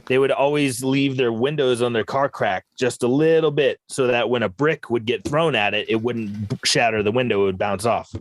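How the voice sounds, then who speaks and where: A man's voice: medium pitch, steady tone, moderate pace, few pauses, no echo. Dry-sounding man with short remarks. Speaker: musician guest. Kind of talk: casual group chat.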